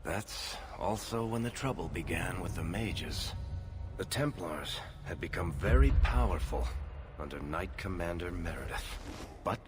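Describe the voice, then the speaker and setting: A man narrating a video game story in a measured storytelling manner. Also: sits low, animated. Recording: game audio with music underneath.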